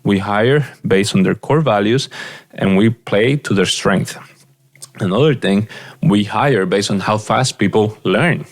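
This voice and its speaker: A man's voice: lowish voice, measured pace. Earnest man. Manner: earnest, direct, repeats points. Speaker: practice coach. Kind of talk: advice monologue.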